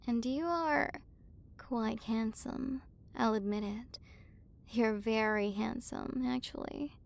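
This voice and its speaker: A woman's voice: fairly high pitch, takes it slowly, gravelly. A woman playing a proud, flustered tsundere warrior queen with a secretly tender side, in an intimate ASMR roleplay. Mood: tender, softened. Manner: haughty but flustered, softening into intimate murmurs. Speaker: voice actor. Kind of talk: ASMR romantic roleplay acting.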